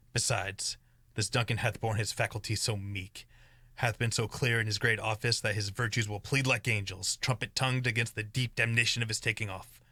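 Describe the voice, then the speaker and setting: A man's voice: fairly low pitch, pushed and bright, dry recording, clean studio sound. A young man acting a troubled, ambitious nobleman who plots murder, in earnest Shakespearean verse for a student radio play. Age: young adult. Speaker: student actor.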